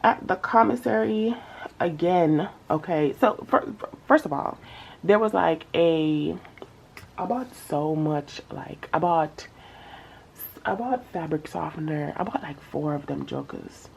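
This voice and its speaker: A woman's voice: low-pitched, lively intonation, unhurried, creaky, a little mellow, a little hiss or hum. Chatty, stuffy-nosed young woman vlogger. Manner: chatty, slangy, addresses viewers as y'all. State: stuffy nose. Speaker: budgeting vlogger. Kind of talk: casual chat to camera.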